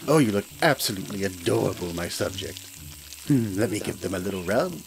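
A man's voice: deep voice, animated, brisk, gravelly, rough recording. A man voicing a smug male hyena mad scientist who talks in a silky, condescending, theatrical way, with frequent chuckles. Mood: gloating. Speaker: villainous scientist narrating to the listener. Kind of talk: hobby audio play. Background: background music.

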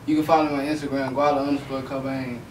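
A man's voice: medium pitch, little pitch movement, fast talker. A young man from Fort Worth, slangy and repeating filler phrases as an interview guest. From Fort Worth, Texas. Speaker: rapper guest. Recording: roomy, distant, noisy.

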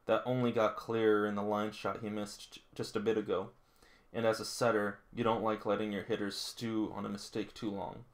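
A man's voice: fairly low pitch, flat delivery, normal speed. A man reading a manga aloud with casual commentary, sometimes tripping over words and going back over them. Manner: stumbles and rereads lines, chuckles at the story. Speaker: manga read-along host. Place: small indoor room with figurines.

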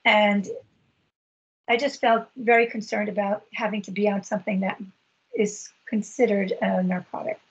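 A middle-aged woman talks on a video call. Her voice is husky and breathy, and her telling is earnest and halting. The sound is dull and lo-fi. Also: mid-range voice, steady tone. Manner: earnest, halting, many fillers. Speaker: patient advocate. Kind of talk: personal story in a video meeting.

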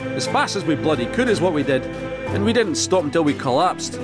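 A man voicing a panicky villager from a fantasy audio drama, with a slightly raised voice. He sounds rough and blunt and gasps out his story while dramatic trailer music swells loudly behind him. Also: a little high, lively intonation, fairly quick, natural voice. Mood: frantic. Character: panicked, breathless male villager survivor.

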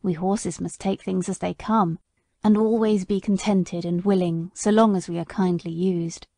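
A woman voicing a bitter, indignant old male horse in an audiobook, speaking slowly in a low, grave way. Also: fairly even intonation, dark tone, no room sound. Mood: consoling, bitter. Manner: slow, low, grave delivery. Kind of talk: audiobook character voice.

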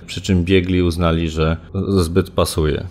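Halting man with a low voice. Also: flat delivery, a little mellow. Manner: halting, searching for words. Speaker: local media reporter, interviewee. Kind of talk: spontaneous interview recollection.

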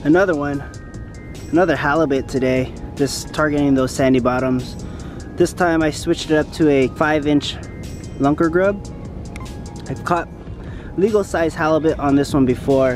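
A man's voice: on the high side, normal speed. A man in his thirties, presenting an instructional vlog in a casual, step-by-step manner. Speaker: fishing vlogger presenting a tutorial. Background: background music.

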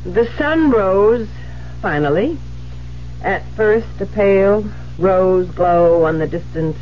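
A haughty, frayed woman novelist in an old radio drama. Her delivery is theatrical and impatient, swinging widely in pitch. The sound is muffled, with noticeable hiss and hum. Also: a little mellow. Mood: irritable, musing. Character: imperious, irritable widowed woman novelist, rattled and frayed. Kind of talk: 1970s radio drama acting. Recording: muffled, noisy 1970s radio broadcast with hum.

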